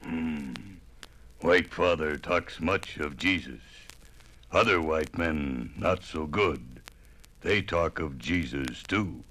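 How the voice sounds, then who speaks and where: Very deep, slow, solemn chief. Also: male voice, a bit bright, full character voice. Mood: skeptical. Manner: solemn broken English, weighty phrases. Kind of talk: 1950s radio drama acting. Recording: noisy old vinyl radio recording.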